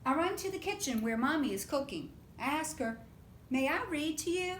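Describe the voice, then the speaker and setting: A woman's voice: sits high, expressive, normal speed, voice pushed up. A woman voicing an eager young child who wants to read aloud, in a picture book read-aloud. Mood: pleading. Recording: roomy echo with background noise.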